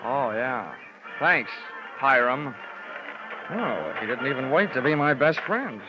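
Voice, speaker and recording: An actor playing a wisecracking, dryly sarcastic insurance investigator in a 1940s radio drama, with wry asides. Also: male voice, medium pitch, animated, slow. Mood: sardonic. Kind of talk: 1940s radio detective narration and acting. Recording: dull 1940s radio recording with music.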